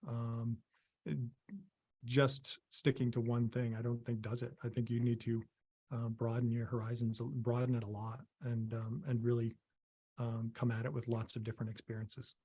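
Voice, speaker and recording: A middle-aged man from Maine, a guest on a video call, speaking in halting, casual sentences full of ums and restarts. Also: medium pitch, little pitch movement, darkish tone, slightly rough. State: losing his voice, clearing throat. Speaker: interview guest, visual effects artist.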